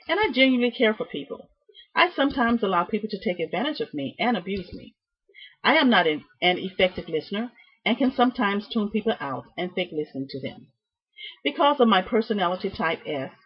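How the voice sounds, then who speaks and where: Husky-voiced woman reading a prepared speech. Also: medium pitch. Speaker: student presenting a class project. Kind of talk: prepared speech, read from notes. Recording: dull, muffled lo-fi sound.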